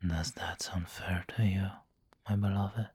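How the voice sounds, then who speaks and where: A man playing a refined, doting, possessive vampire lord in an ASMR roleplay, breathy and whispery, with a genteel, coaxing manner. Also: low voice, fairly even intonation, darkish tone, heavily acted. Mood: gentle, refined. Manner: slow, genteel, coaxing endearments.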